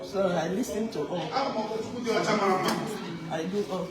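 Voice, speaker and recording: A Yoruba-speaking woman from Nigeria with a Nigerian accent, answering interview questions in a soft, easygoing way. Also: on the low side, takes it slowly, very noisy. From Nigeria, Ogun State. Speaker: church member interviewed. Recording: echoey and distant with a loud crowd.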